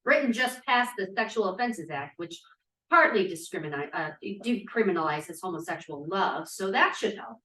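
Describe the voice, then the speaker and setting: Distant and echoey, an actress plays a blunt young woman activist with a mid-pitched voice. She is cynical and challenging, in the style of a sixties drama read aloud at a table. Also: expressive, normal speed, sharp tone. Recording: echoey, distant room sound.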